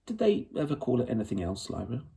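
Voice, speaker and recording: A man voicing a frail old wise man in a children's storybook, gentle and curious, with a rich, musical tone. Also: mid-range voice, big pitch swings, quick pace, creaky, distant mic.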